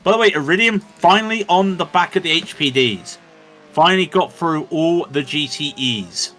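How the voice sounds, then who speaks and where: A man's voice: a little high, animated, moderate pace, bright, forceful tone. A man doing live commentary on an online endurance race, chatty and joking between updates, his throat dry after hours on air. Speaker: sim racing commentator.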